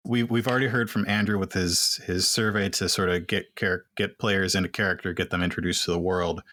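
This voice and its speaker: A man's voice: deep voice, steady tone, a little fast, bright, forceful tone, dry recording. A man hosting a podcast round table, asking the other guests questions in long strings. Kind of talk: casual panel discussion.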